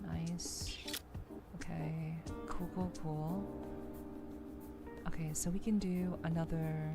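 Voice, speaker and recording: A woman streamer speaks very slowly over loud music, in a soft ASMR murmur with a creaky edge. She chats casually with viewers and thinks aloud as she plays, sometimes slipping into a half-whisper. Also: low-pitched, flat delivery. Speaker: VTuber livestream host.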